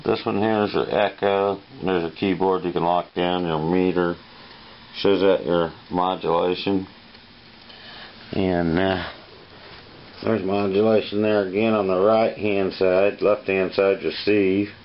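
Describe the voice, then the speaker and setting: A man's voice: low-pitched, level tone, a little slow. A man chatting casually and talking off the cuff about his CB radio gear. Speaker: CB radio operator demonstrating his set. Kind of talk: casual chat and off-the-cuff equipment walkthrough. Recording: dull, very noisy CB radio setup.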